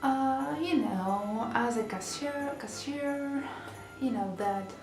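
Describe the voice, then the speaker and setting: A woman's voice: fairly high pitch, takes it slowly. A young woman with a Greek accent, chatting to camera in a casual vlog with many fillers and restarts. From Greece. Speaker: vlogger. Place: small bedroom indoors. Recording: roomy echo, music behind. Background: background music.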